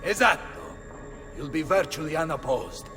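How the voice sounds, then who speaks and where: An actor playing a boisterous, hearty Venetian mercenary captain in a video game, with an Italian accent and an emphatic, commanding delivery. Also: male voice, animated, moderate pace, over music, natural voice. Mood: confident, scheming. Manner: emphatic, commanding, swings from low to booming. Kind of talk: theatrical video game acting.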